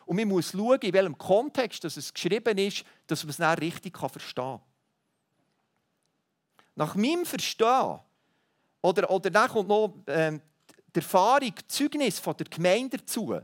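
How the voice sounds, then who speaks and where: A man's voice: high voice, big pitch swings, moderate pace. A man preaching a sermon, earnest and teaching, thinking aloud with small restarts. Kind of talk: sermon to a congregation.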